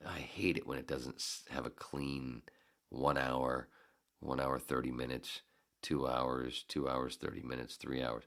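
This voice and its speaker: A man's voice: very deep voice, creaky, a bit bright. A man hosting a solo podcast, talking in a rambling, profane, self-deprecating way. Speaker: podcast host. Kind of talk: casual solo podcast monologue.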